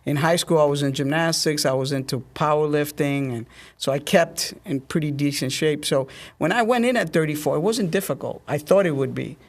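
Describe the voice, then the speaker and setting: Middle-aged male interview guest, slightly high voice with gravelly fry, recounting personal experience. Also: fairly quick. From Dominican family roots. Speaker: guest, army officer. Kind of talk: conversational interview storytelling.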